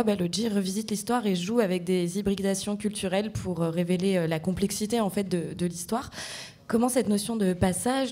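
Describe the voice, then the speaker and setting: A woman hosts a cultural interview with a mellow, slightly rough-edged voice. Her pitch sits in the middle, and she moves between prepared introductions and questions to her guests. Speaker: interviewer and host.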